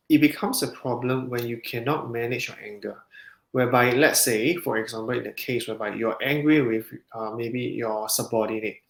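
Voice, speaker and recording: A man, a counselling psychologist, explaining in a measured way in a podcast interview, with frequent restarts. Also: mid-range voice. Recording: roomy echo.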